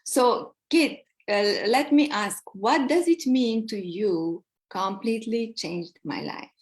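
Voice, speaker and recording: A woman with a Hungarian accent hosting a live online interview, speaking in a halting way with many fillers. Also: on the high side. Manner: slow, halting, with frequent fillers. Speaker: host. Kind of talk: live social media interview.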